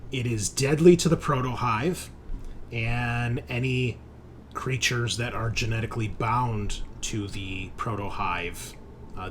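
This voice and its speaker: A man's voice: mid-range voice, slight background noise. A man narrating a tabletop sci-fi horror game as game master, in a casual, sweary way.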